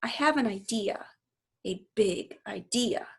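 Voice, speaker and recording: A woman's voice: mid-range voice, takes it slowly, creaky, slightly rough, full character voice. A woman reading a children's novel aloud as an earnest, eager young girl with big ideas. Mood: excited.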